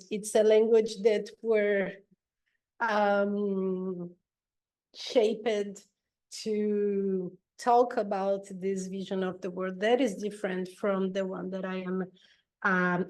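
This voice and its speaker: A woman from Brazil with a Brazilian Portuguese accent, a native Portuguese speaker giving an online talk in a hesitant, slightly nervous way with many pauses and ums. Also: medium pitch, a little mellow. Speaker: guest speaker. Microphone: video call.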